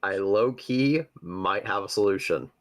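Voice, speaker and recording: A man's voice: lowish voice, unhurried. A man playing a tabletop game, casually explaining rules and moves, with some swearing.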